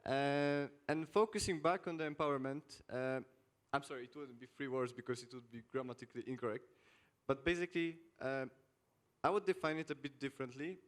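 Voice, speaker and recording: A man's voice: medium pitch, steady tone, a little fast. A young man, introducing himself semi-formally as a student panelist. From Poland. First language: Polish. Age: late teens.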